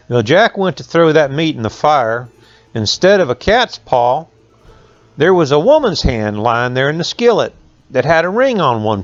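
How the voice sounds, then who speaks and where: An older man reading a folk tale aloud as a storyteller, with folksy rural phrasing. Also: medium pitch. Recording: echoey room, slightly dull sound.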